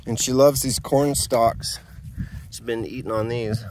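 A man's voice: mid-range voice, steady tone, measured pace, noisy background. Easygoing man rambling on a garden tour. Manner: rambling, easygoing, thinking aloud. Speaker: home gardener. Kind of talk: casual garden tour, talking to camera. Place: outdoors in a dry desert garden.

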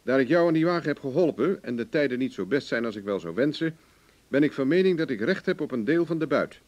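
An actor playing a cocky, wry, hard-drinking investigator in a radio play, speaking in a dry, self-assured way. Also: male voice, medium pitch, expressive, fast talker, a little mellow, natural voice. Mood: measured, reading aloud. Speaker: actor in the lead role. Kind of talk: Dutch radio play acting. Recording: dull, lo-fi old radio recording.

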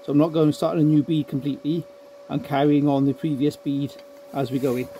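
A man doing an unhurried, self-deprecating welding demonstration as an amateur car restorer in a cluttered garage workshop, explaining each step. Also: on the high side, level tone, dark tone, a little hiss or hum.

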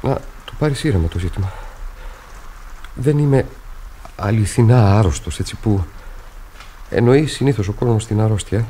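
An actor as a brooding young son, noisy recording. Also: male voice, on the low side, mellow, close to an everyday voice. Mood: anguished confession. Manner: halting, heavy, intimate with his mother. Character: brooding, weary young artist son, tormented and petulant. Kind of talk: radio theatre acting.

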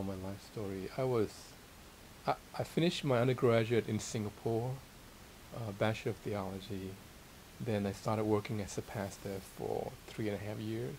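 A middle-aged man from Thailand speaks as an interview guest, his voice on the low side with a gravelly creak. He is modest and hesitant, feeling his way through his answers. A steady hiss sits behind him. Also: rough recording. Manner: hesitant, searching for words, modest. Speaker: interviewed guest, theology teacher and author. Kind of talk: casual sit-down interview. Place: small library room with armchairs. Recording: steady hiss and room noise.

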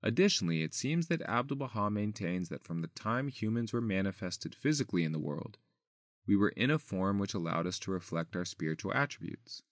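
A man reading a written article aloud as scripted narration, in a measured, deliberate and formal manner. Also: fairly low pitch, lively intonation, no echo, studio quality. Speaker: article reader.

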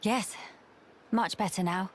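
An actress playing an earnest young noblewoman in a video game, speaking in formal, measured diction. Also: medium pitch, a little hiss or hum, lightly acted.

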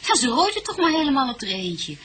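Lively, wry woman witness. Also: high voice, fast talker, a crowd in the background. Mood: chatty, wry. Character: chatty, wry woman being questioned. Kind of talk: radio drama dialogue.

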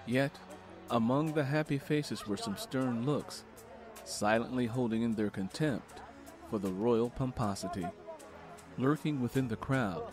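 A man hosting a book interview podcast, reading a historical passage aloud in a measured, steady storytelling manner. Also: mid-range voice.

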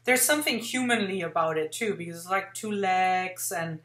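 A woman's voice: lowish voice, moderate pace, a bit bright. A woman in her forties hosting an interview over a video call, chatty and enthusiastic, rambling with lots of fillers. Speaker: host. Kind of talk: casual interview chat. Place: home room indoors. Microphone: webcam on video call. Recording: roomy webcam sound.

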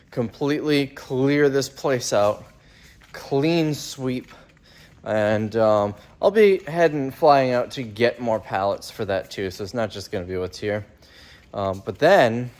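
A young man from the United States, chatting casually about his warehouse sale while filming himself with a phone held at arm's length. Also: medium pitch. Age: young adult. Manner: rambling, matter-of-fact, filming himself while working. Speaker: vlogger running a warehouse sale. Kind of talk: casual vlog chat. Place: warehouse indoors and outdoors by the road.

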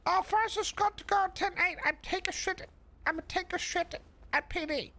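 Shrill, silly little cop. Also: male voice, normal speed, heavily acted. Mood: matter-of-fact, silly. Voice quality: high, shrill. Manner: broken grammar, repetitive, excitable. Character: Francis, high-voiced, foul-mouthed, silly, hapless cop character. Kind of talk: improvised online roleplay.

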